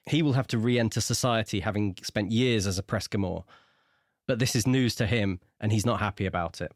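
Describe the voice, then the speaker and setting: Male podcast co-host for casual book chat; lower voice, dry close sound. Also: brisk, no echo. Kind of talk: casual book discussion with plot recap.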